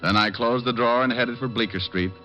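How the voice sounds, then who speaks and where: An actor playing a sardonic American private detective in old-time radio drama, narrating and talking in a dry, flippant manner. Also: male voice, medium pitch, brisk, natural voice. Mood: wry narration. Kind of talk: 1940s-50s radio detective narration and acting. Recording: dull old-time radio, music behind.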